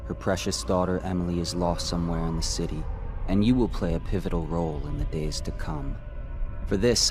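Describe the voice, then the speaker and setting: An actor playing a calm, enigmatic supernatural being from a video game, speaking in a measured, solemn, cryptic way. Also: male voice, sits low, lightly acted. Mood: calm, knowing. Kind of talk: scripted video game monologue. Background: music.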